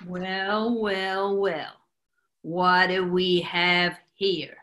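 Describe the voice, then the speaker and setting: A woman's voice: lowish voice, flat delivery, drawn-out words, a bit bright, muffled recording. A woman playing a brash, scheming male gangster boss with an American accent in a 1940s-style radio play, wisecracking and bossy. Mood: menacing, mocking. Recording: roomy echo.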